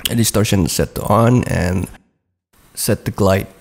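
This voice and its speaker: A man slowly talking through a music production project over a screen recording, with frequent pauses, "uh" and "okay". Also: lowish voice, creaky, noisy background. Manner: slow, hesitant walkthrough with frequent "uh" and "okay".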